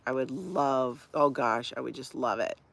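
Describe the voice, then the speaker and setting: Livestream host: middle-aged woman, light Minnesota accent, some vocal fry, casual and chatty. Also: a bass voice, steady tone, measured pace, a bit bright. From Hawaii. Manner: chatty, laughs often, reacts to viewer comments. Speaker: livestream host reading chat. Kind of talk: casual live-chat talk.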